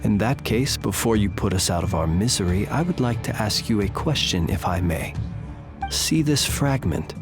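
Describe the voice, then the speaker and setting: An actor playing a composed, polite adventurer in a video game, questioning in a measured, dryly wry way. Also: male voice, on the low side, expressive, music in the background, natural voice. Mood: calm, probing. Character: composed, courteous, probing male adventurer facing a villain. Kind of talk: video game character acting.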